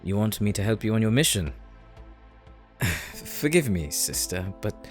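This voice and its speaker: Man as a low-voiced, formal captive zealot. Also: very animated. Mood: wry, wary. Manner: formal, measured, probing. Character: devout male prisoner, formal, probing, shrewd. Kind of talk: audio roleplay acting. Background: music.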